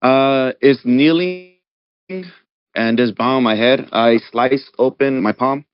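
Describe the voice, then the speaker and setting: A man's voice: medium pitch, slow pace, clean tone, no room sound. A man playing a tabletop role-playing game, answering casually in brief, understated phrases. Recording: dull lo-fi audio.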